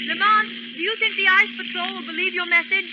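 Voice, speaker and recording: A woman's voice: very high-pitched, fairly even intonation, quick pace. An actress playing an earnest, devoted young woman companion in an old radio drama, speaking emotionally. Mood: anxious. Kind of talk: 1930s-40s radio drama acting. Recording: dull, noisy vintage radio recording.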